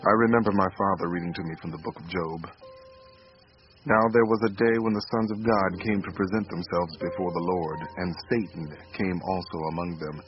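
A man's voice: deep voice, fairly even intonation, a little fast, close to an everyday voice. An actor playing an earnest, remorseful father and husband in a radio drama. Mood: solemn. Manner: earnest, sincere. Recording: muffled radio recording, loud music behind.

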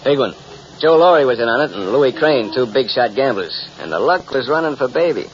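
A man's voice: mid-range voice, lively intonation, brisk, close to an everyday voice. Guy playing a cocky american tough gambler, slangy flirty talk, 40s radio show, muffled with a bit of hiss. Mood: boastful, breezy. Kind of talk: 1940s-50s radio drama acting. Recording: muffled old radio recording, faint noise.

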